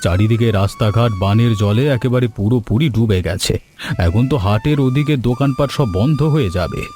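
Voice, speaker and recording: A man voicing a kindly, steadfast village husband in an animated moral story, speaking earnestly and reassuringly. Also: mid-range voice, a little fast, lightly acted. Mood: steady, concerned.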